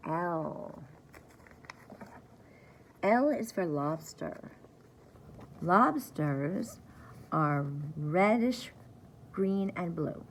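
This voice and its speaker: A woman reading aloud for young children like a preschool teacher, in a simple, deliberate way, repeating key words. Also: lowish voice, slight background noise. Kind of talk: children's story time read-aloud.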